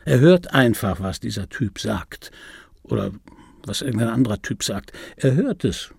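Gravelly, husky aggrieved middle-aged man. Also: lowish voice, sing-song, moderate pace, a little mellow, dry recording, studio quality, heavily acted. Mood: brooding, defensive. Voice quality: raspy, gravelly. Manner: insistent, repetitive, self-justifying. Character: aggrieved, vain male film-dubbing actor reminiscing. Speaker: narrator of a radio play. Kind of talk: German radio drama monologue. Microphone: close studio microphone, dry.

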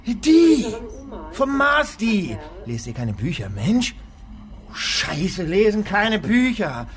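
A deranged street beggar in a radio play, paranoid and abusive. He drags his words slowly, lurches between mutters and outbursts, and has loud music behind him. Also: high-pitched, wildly swooping pitch, full character voice. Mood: agitated ranting. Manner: erratic, muttering then shouting insults.

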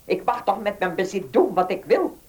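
An actress playing a vindictive, rich old noblewoman in a Dutch radio play, imperious, halting and emphatic. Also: mid-range voice, sing-song, darkish tone, lightly acted. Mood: bitter, commanding.

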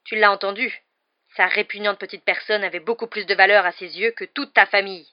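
A woman voicing a furious, vengeful man in a storybook, loud and growling with accusation. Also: medium pitch, steady tone, normal speed, lo-fi sound, close to an everyday voice. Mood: bitter fury.

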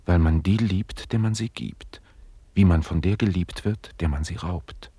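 A man playing a lordly, inquisitive master in a radio play, imperious and teasing, with a husky voice. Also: low-pitched, a little slow, dark tone, no echo. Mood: prying, teasing. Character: lordly, inquisitive master scolding his servant.

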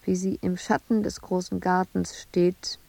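Bitter intense woman artist locked in an asylum, lowish creaky voice, radio play monologue. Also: level tone, measured pace, warm tone, dry recording, low-quality recording. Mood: brooding, bitter. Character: embittered woman sculptor confined in an asylum, recalling her family.

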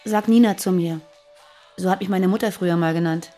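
Actress as a guarded fugitive woman, mellow low voice. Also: a little fast, faint music behind, lightly acted. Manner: guarded, earnest, hesitant. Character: guarded, frightened fugitive terrorist woman living under false names. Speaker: radio drama actor. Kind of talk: naturalistic German radio play dialogue.

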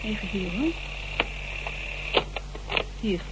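A woman's voice: medium pitch, lively intonation, very slow. A woman playing an eager assistant investigator in a radio drama, speaking colloquially and emphatically. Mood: eager, pleased. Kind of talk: naturalistic Dutch radio drama dialogue. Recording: noisy radio-play recording.

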